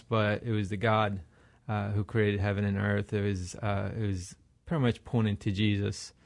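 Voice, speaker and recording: A man from Iraq, a radio interview guest who answers haltingly with many fillers and restarts. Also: on the low side, creaky. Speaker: guest, ministry leader.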